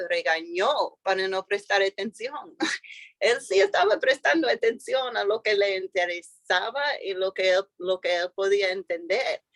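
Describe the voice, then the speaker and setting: A woman's voice: mid-range voice, fairly bright tone, dry recording. A woman whose first language is English, speaking with an American accent as an interview guest, hesitant with many fillers and restarts. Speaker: school founder and director. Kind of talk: interview guest telling a story.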